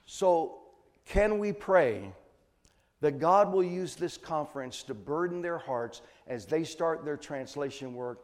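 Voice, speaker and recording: A man preaching a sermon as a missionary teacher, emphatic, repeating phrases for weight and swinging between hushed line endings and loud stresses. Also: fairly high pitch, in a large hall. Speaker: missionary preacher and Bible translation trainer.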